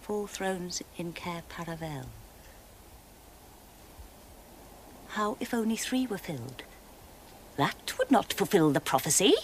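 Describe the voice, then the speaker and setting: A woman's voice: fairly low pitch, animated, normal speed, lightly acted. An actress playing an evil, imperious witch queen in a fantasy drama, grandiose and volatile, as if casting spells. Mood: scheming, scornful. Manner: grandiose, incantatory, swinging from hushed to shrieking. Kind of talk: theatrical fantasy drama acting. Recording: faint background noise.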